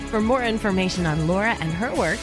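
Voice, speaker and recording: A woman's voice: medium pitch, a little fast. Woman announcer reading a show promo. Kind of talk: scripted promotional read. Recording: voice over music bed.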